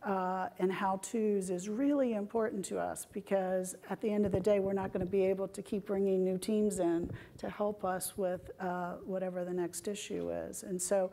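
A middle-aged woman speaks from a conference stage into a lapel mic. Her voice has a mellow, warm tone. She talks in a measured way and pauses often to find her words. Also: mid-range voice, steady tone, a little hiss or hum. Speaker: panelist, government IT executive. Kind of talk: panel discussion.

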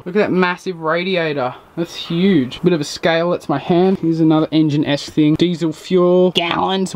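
A young man, giving a casual, jokey walkthrough while exploring old trains, recorded on a handheld camera outdoors and in a locomotive cab. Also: on the high side. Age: young adult. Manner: chatty, jokey, running play-by-play. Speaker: urban explorer vlogger. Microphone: handheld action camera. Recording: noisy outdoor camera audio.

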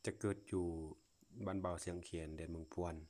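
Casting: male Lao vlogger, low-pitched voice, folksy and full of wonder, off-the-cuff outdoor phone commentary. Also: little pitch movement, fairly quick, a little mellow. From Laos. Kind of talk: casual unscripted commentary to viewers while filming. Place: outdoors, at a grassy patch.

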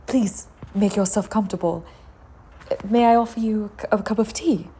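Breathy young grieving noblewoman. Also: medium pitch, moderate pace, mellow. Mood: polite, anxious. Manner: formal, breathy, theatrical. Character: young grieving noblewoman, genteel and desperate widow. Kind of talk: gothic audio drama acting.

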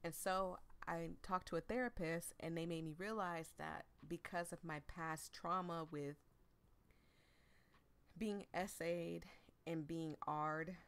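A woman's voice: fairly low pitch, fairly even intonation, takes it slowly, a bit bright, clean tone. A woman giving casual, chatty let's play commentary in a candid, emotional and informal way. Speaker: host of a visual novel let's play.

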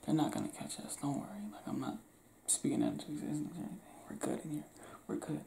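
Young man, half-whispered, laid-back, gravelly, close to the camera, small room. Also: fairly high pitch, quick pace, warm tone. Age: young adult. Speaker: ASMR roleplay creator. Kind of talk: ASMR roleplay, spoken to the listener. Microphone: camera close to face.

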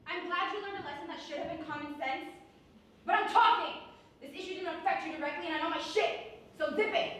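Actress as a high, echoey, spirited young heroine. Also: female voice, fast talker, fairly bright tone. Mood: indignant, bossy. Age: young adult. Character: spirited, indignant young Mexican American heroine, bossy yet caring. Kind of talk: stage acting in a comic musical. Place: small indoor theatre stage, far camera. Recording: echoey stage from far off, audience noise.

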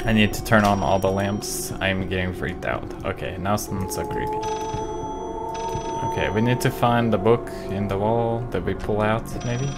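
A man in his twenties giving jokey, sweary, excitable gaming commentary into a close desk microphone in a room at home. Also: fairly low pitch, moderate pace. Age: 20s. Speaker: gaming video host. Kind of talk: casual let's play commentary. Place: small home room, indoors. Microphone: close desk microphone with pop filter. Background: game music.

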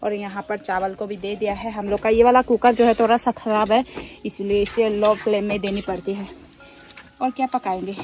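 A woman's voice: mid-range voice, steady tone, moderate pace, darkish tone, faint music behind. A young woman with a Northeast Indian accent narrating a casual cooking vlog, recorded on a handheld camera at a rural home. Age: young adult. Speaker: vlogger. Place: rural bamboo house, kitchen and yard. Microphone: phone or camera at arm's length.

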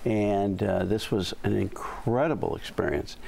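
A man's voice: fairly low pitch, a little slow, creaky, a little mellow, low-quality recording. An older man chatting at a studio dinner table, praising a meal with hesitant fillers and real enthusiasm. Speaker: guest reviewer. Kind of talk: casual dinner-table chat.

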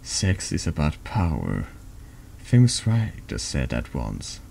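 A man's voice: extremely low pitch, huge pitch swings, slow, vocal fry, a little mellow, heavily acted. A man voicing a snide, needling man in a confessional for a horror text game. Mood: probing, cynical. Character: taunting, insinuating man in a confessional. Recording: noticeable background noise.

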